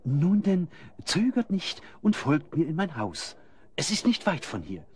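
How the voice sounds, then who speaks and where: An actor playing an obliging, boastful small-town innkeeper in a radio play, courteous and deferential in manner. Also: male voice, on the high side, huge pitch swings, measured pace, a little mellow.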